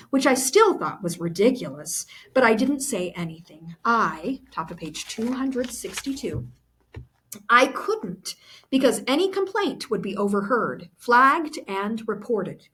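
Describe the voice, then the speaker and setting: A woman teacher reading a novel aloud to students, expressively, pausing to explain and check that they follow. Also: a little high, rough recording. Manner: expressive, instructive, checks understanding with rhetorical questions. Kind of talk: classroom read-aloud with explanatory asides to students.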